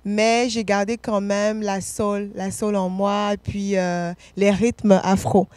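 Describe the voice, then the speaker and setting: A woman's voice: mid-range voice, flat delivery, slow pace, darkish tone, no echo. A woman from Côte d'Ivoire, a singer-songwriter being interviewed, answering casually with many fillers, restarts and frequent laughs.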